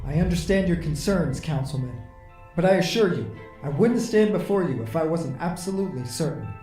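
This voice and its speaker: A man plays an earnest, confident agent in an audio drama. His voice is a little high for a man and formally assured. It sounds echoey and off the mic. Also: animated, brisk, dark tone, recorded from a distance, soft background music, lightly acted. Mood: resolute. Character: male agent, earnest, resolute, respectfully confident.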